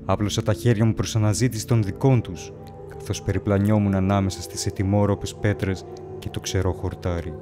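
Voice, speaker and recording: A haunted, feverish wanderer, acted by a man, recounts his horror tale in a low voice. He is solemn and brooding, with the literary delivery of an audio drama. Prominent music plays beneath him. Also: steady tone, natural voice. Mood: anxious, tense. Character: haunted, feverish male wanderer lost among ancient graves. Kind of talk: dramatic first-person horror story narration. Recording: loud music under the voice.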